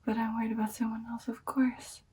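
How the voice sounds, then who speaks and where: A woman playing an ancient, caring female vampire in a whispered ASMR roleplay, speaking in soft, breathy, hesitant phrases. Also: a little high, little pitch movement, fairly quick, far from the mic, slight background noise, natural voice. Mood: weak, worried.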